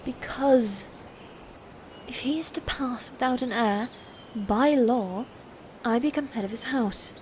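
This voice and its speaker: A woman's voice: fairly high pitch, darkish tone, a little hiss or hum. A woman playing a proud, playfully cruel female knight in a scripted medieval fantasy audio roleplay, with theatrical, formal old-world phrasing. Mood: scheming. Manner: theatrical, archaic formal phrasing, slow and deliberate.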